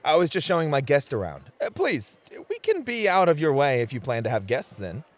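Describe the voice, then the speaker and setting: A man playing a suave, playful club host in a flirty ASMR roleplay, with smooth, teasing, theatrical hospitality. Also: a little high, huge pitch swings, fairly quick. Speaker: club host showing a guest around.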